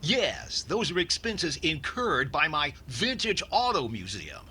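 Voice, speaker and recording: A man playing a fussy, penny-pinching, exasperated radio comedy star with deadpan, defensive delivery in classic sitcom style. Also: on the high side, unhurried, heavily acted. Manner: deadpan, put-upon, defensive. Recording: very noisy recording with ambience.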